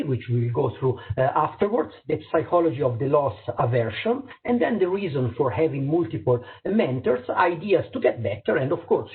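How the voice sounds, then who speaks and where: A middle-aged man with an Italian accent presents to fellow doctors over a video call, pausing often on fillers and restarting his phrases. His voice sits at an ordinary pitch for a man. The sound is thin and narrow, like a phone line. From Italy. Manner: hesitant, many fillers and restarts. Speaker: eye surgeon and pilot giving a talk. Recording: tinny phone-like audio.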